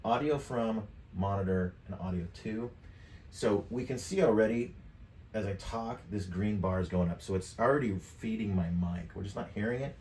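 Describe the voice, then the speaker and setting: A man in his fifties giving a casual software tutorial, thinking aloud in an offhand, mildly profane way. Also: lowish voice, moderate pace. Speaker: tutorial presenter. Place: indoor home studio desk. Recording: roomy, distant mic, background noise.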